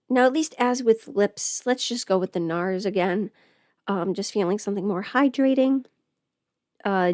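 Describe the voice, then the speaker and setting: A woman chatting casually on a livestream in a halting, rambling way, often trailing off mid-thought. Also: medium pitch, darkish tone, dry recording, studio quality. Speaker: livestream host, audio performer. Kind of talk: casual livestream chat while getting ready.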